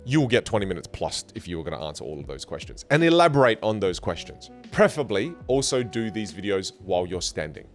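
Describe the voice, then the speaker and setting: A man whose first language is Teochew, teaching communication skills in an online English lesson with an animated, jokey delivery. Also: low voice, fast, hardly any pauses, faint music behind.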